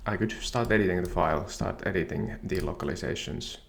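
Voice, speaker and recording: A man whose first language is Finnish, calmly and methodically walking through a software tutorial. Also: low-pitched, a little fast, creaky. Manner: calm, methodical explaining. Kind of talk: screen-share software tutorial.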